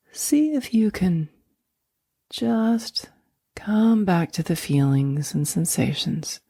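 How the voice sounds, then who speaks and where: A woman guiding a sleep meditation in a soft, breathy near-whisper, speaking slowly and gently with long, soothing pauses. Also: low-pitched, no echo, clean studio sound. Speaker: meditation guide.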